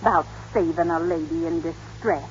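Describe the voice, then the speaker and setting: A woman's voice: sits low, steady tone, moderate pace, lightly acted. A woman acting in an old-time radio drama. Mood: fussing. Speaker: radio actress. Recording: dull, noisy old radio broadcast.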